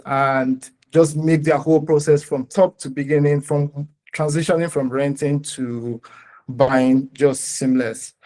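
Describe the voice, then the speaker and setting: Nigerian man explaining a startup pitch. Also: a little mellow. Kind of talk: live pitch and question answering.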